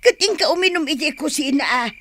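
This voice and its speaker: A woman's voice: high voice, animated, fairly quick, fairly bright tone, a little hiss or hum. A woman playing a feisty, practical, scolding wife with an Ilocano accent in a radio comedy drama, nagging and exclamatory. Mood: irritated, scolding. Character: feisty, practical wife fond of secondhand bargains, scolding her husband.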